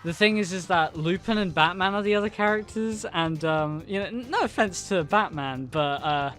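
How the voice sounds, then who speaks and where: A man's voice: high voice, normal speed, fairly bright tone, soft background music. A man in casual podcast chat about anime, talking loosely with many fillers and laughing often. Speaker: co-host, anime movie reviewer.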